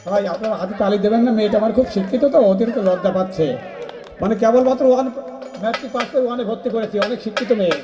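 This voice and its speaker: A man's voice: high voice, racing, dull audio. A man with a Bangladeshi Bengali accent hosting a live folk puppet show with coaxing, repetitive banter. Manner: coaxing, repetitive calls to audience and children. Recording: loud live music and crowd, echoey venue. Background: tabla percussion and crowd.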